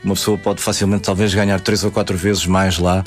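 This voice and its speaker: A man's voice: sits low, level tone, a little fast, soft background music. A man from Portugal with a European Portuguese accent, hosting a conversational interview with long, wordy questions and affirming replies. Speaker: interviewer, host.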